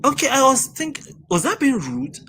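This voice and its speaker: A man with a high-pitched voice, a guest on a livestream chat who protests defensively. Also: big pitch swings, moderate pace, creaky, a crowd in the background.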